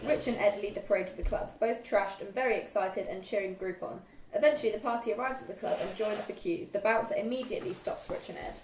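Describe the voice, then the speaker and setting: A woman's voice: medium pitch, quick pace, few pauses. A woman narrating script stage directions at an informal table read. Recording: echoey room, distant mic, faint noise.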